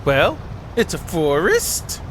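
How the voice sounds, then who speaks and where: Young girl character performed by a man, visual novel, anxious, wistful, hesitant, high and lively pitch, noisy background. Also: takes it slowly, full character voice. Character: anxious young girl, sleepy, sheltering from a winter storm.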